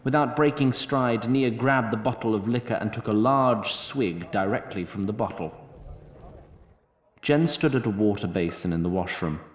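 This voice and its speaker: A man narrating a scripted fantasy story in a measured, literary way. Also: fairly low pitch. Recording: roomy sound, faint noise.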